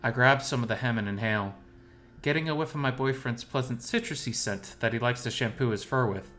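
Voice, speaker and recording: A man's voice: medium pitch, a little fast, fairly bright tone. A man reading scripted first-person story narration as the narrator.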